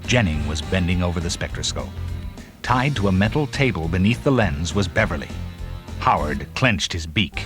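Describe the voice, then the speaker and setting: Low-voiced male storybook narrator over music. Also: lively intonation, moderate pace. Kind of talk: dramatic scripted children's story narration. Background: loud music and sound effects.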